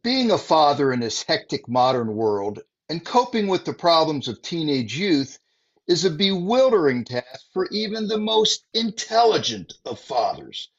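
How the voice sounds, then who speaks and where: This is a dramatic old-time radio announcer, as a man plays him, declaiming with a swooping pitch. His voice is husky and a little high, and the recording sounds slightly muffled and lo-fi. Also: very animated, a little slow, heavily acted. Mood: grandiose.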